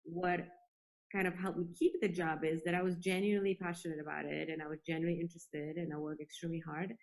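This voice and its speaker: A woman's voice: fairly low pitch, flat delivery, fast, rough recording. A woman from Poland giving earnest, reflective answers as a guest in a conversational interview. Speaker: interview guest, space industry executive.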